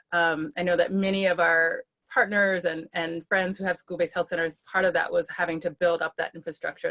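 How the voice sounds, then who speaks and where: A woman with a slightly low voice gives an earnest account on a webinar video call. She speaks steadily as a behavioral health leader and fills her pauses with ums and small restarts. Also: a bit bright. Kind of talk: webinar panel talk.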